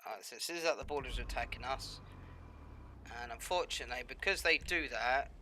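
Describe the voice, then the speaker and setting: A man's voice: medium pitch, fairly quick, sharp tone, slight background noise, rough recording. A man with a cold and a slightly nasal voice, giving casual, rambling gameplay commentary full of ums and you-knows. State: has a cold, a bit nasal.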